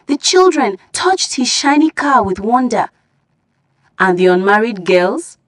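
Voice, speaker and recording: A woman storyteller reads a scripted folktale. Her voice sits a little high. The recording is dry and close, with no room sound. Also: sing-song, a little slow, no room sound.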